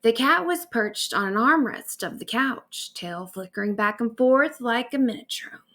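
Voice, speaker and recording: Slow, higher-voiced woman reading a bedtime story. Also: animated, bright, forceful tone. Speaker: author reading own stories aloud.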